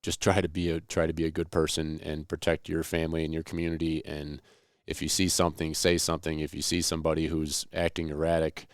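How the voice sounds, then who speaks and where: A man with a hoarse voice who is getting over the flu, talking casually and plainly as the host of a podcast. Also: rumbling low, no echo, clean studio sound. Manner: folksy, plain-spoken. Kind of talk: solo podcast intro, casual talk. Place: Buffalo, New York area.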